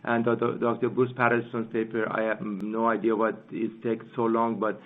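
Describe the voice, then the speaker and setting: Halting man on a muffled phone line. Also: on the low side, monotone. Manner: halting, repeating himself. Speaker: company chief executive hosting investor call. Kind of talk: unscripted conference call Q&A.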